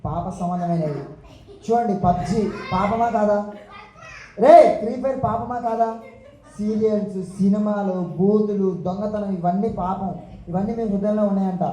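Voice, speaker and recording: A woman's voice: medium pitch, fairly even intonation, moderate pace, dark tone, lo-fi sound. A woman hosting a puppet show for children, exclaiming and asking questions, often repeating things for them. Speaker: puppet show host at children's Sunday school. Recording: echoey room, clearly noisy background.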